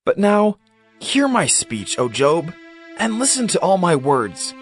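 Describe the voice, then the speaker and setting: A man's voice: high-pitched, big pitch swings, takes it slowly, faint music behind, full character voice. An actor playing a fervent, indignant young man who rebukes his elders in a declamatory, insistent way, in a dramatized scripture reading. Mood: earnest challenge.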